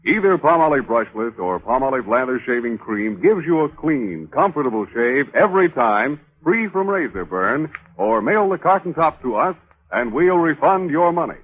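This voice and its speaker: Lively husky male radio announcer. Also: mid-range voice, normal speed, phone-quality sound. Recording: thin old radio recording.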